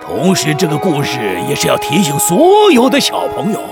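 Creaky, wildly animated village chief. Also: male voice, fairly high pitch, normal speed, exaggerated voice. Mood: mock-solemn, playful. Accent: Taiwanese. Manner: theatrical, teasing, stammering at times. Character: jolly, chatty village chief telling tall tales. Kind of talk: children's radio drama storytelling. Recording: music bed behind the voice.

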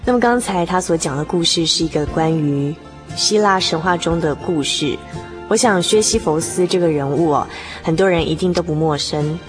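A woman's voice: sits low, moderate pace. A woman hosting a radio program, presenting in a conversational style. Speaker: radio host. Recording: music behind.

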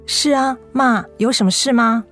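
A woman's voice: fairly high pitch, normal speed, fairly bright tone, faint music behind. A woman playing a devoted young adult daughter in a radio drama, emotional and plaintive, reluctant to let go. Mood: caring. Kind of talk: Mandarin radio drama acting.

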